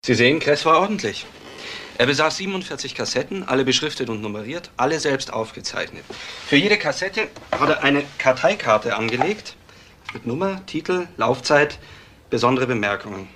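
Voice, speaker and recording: An actor playing a matter-of-fact police detective in a crime drama, laying out clues assertively. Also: male voice, medium pitch, expressive, moderate pace, bright, forceful tone, slight background noise. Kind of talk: German TV crime drama acting.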